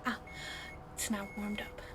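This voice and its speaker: A woman whispering softly in an ASMR roleplay, caring but gently bossy, recorded close to the mic at home with a fan running behind her. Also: unhurried. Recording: fan noise in background.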